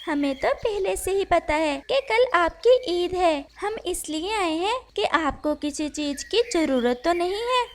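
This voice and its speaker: A woman's voice: very high-pitched, lively intonation, measured pace, few pauses, a little hiss or hum. A woman voicing a bold, protective, hot-tempered female crow with a scolding, commanding manner in a children's cartoon story. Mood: proud, caring. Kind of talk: animated children's story dialogue.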